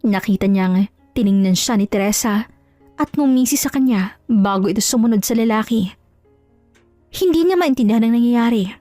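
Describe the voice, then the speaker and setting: A woman's voice: on the high side, expressive, moderate pace, long pauses, warm tone, dry recording, faint music behind. A woman reading scripted dramatic narration as the storyteller of an audio story.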